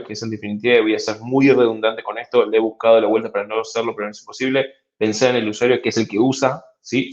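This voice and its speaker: A man's voice: mid-range voice, muffled recording. A man from Argentina with an Argentine Spanish accent gives a reflective conference talk, with many fillers and restarts. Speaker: conference speaker.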